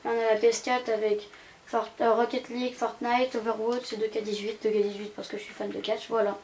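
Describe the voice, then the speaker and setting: A woman's voice: fairly high pitch, flat delivery, brisk, a little mellow. A young woman giving a casual, rambling, hesitant commentary as she tours a video game. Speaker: gaming channel host. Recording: amateur home recording with background noise.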